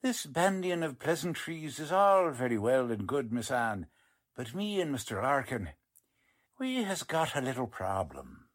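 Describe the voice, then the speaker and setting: A folksy, boastful radio-play sidekick, acted by a man, slangy and bumbling. He drawls slowly, with pitch that bounces all around for comic effect. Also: big pitch swings. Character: folksy, ungrammatical, boastful sidekick of a lady reporter. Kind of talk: 1940s radio drama acting.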